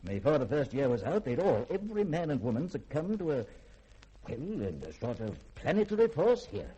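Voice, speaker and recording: Learned middle-aged scientist, husky, soft-edged tone, polished theatrical diction, secretive, 1950s radio drama. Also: male voice, on the high side, sing-song, normal speed, muffled recording, exaggerated voice. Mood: grave, ominous. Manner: formal, polished, eloquent, theatrical.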